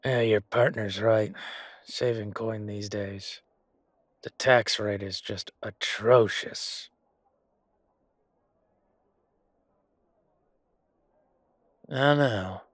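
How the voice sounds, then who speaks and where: A man plays a chatty merchant from a fantasy audio drama, rather pleased with his royal trade. His voice sits on the low side and drawls along with casual hesitations. The recording is dry and close. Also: fairly even intonation, slow pace, no echo. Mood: grumbling. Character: male merchant, chatty, self-satisfied, grumbling royal supplier. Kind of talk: fantasy audio roleplay acting.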